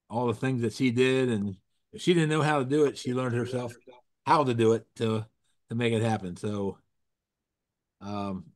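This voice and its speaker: A man's voice: mid-range voice, no room sound. A man hosting a casual video-call talk show, getting over a cold and sounding froggy, rambling with restarts and corny puns. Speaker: host. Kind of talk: casual chat with jokes.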